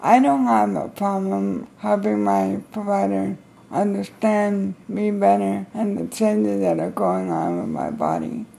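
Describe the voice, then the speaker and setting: A woman living independently with help from care providers, speaking deliberately and thoughtfully. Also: mid-range voice, expressive, creaky, mellow.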